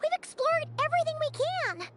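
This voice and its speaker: A woman voicing a small, excitable, earnest childlike companion in a video game. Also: extremely high pitch, fairly quick, exaggerated voice. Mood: earnest, worried.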